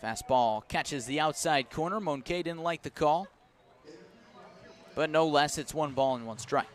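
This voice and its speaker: A man's voice: on the high side, unhurried. American man calling baseball play-by-play. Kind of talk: live radio baseball commentary with conversational asides. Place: outdoors at a ballpark.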